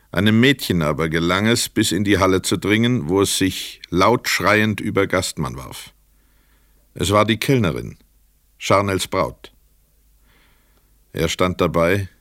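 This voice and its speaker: A man narrating a literary crime radio play in a measured, sober, scripted delivery. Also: sits low, fairly bright tone, no echo, studio quality.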